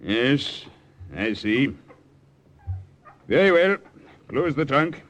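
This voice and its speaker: An actor playing a brusque, suspicious checkpoint guard in an old radio drama. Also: male voice, mid-range voice, big pitch swings, extremely slow. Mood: suspicious, commanding. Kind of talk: 1940s-50s radio drama acting. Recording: noisy vintage radio recording.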